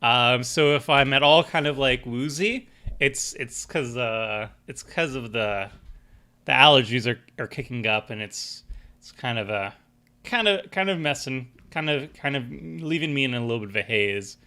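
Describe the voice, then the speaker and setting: Bright-voiced man streaming, a bit stuffy. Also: mid-range voice, normal speed. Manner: rambling, many fillers and restarts, reads chat aloud. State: stuffy from allergies. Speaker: streamer building a deck with chat. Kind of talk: casual live-stream chat.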